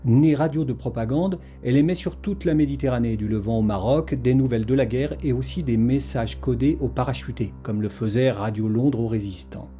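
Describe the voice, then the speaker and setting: A man's voice: medium pitch, a little fast, hardly any pauses, warm tone, soft background music. A man reading a scripted historical documentary narration as its narrator.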